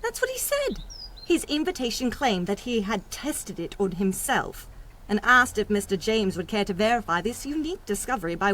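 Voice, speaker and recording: An actress playing an anxious young secretary, earnest and dramatic, with proper diction in a 1930s-style radio drama. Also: female voice, medium pitch.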